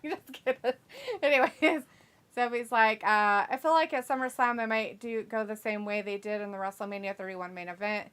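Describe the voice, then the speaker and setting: Bright, giggly woman chatting on a stream. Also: mid-range voice, moderate pace, smooth. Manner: giggly and chatty, often breaks into laughter. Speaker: co-host of a wrestling watch-along stream. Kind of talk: casual live chat, reading viewer comments aloud.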